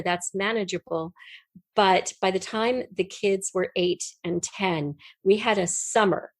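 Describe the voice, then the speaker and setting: A woman's voice: medium pitch, no room sound. A middle-aged American woman chatting casually as an interview guest, telling personal stories and acting out what people said. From United States. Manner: chatty, animated retelling with acted-out quotes. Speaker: podcast guest, writer and performer.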